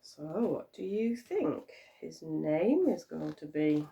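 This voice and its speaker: Woman, expressive children's storyteller, teacherly, lively pitch, slow pace, slightly distant from mic. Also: gravelly. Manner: expressive, dramatic. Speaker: primary teacher reading a story. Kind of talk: animated storytelling for young children.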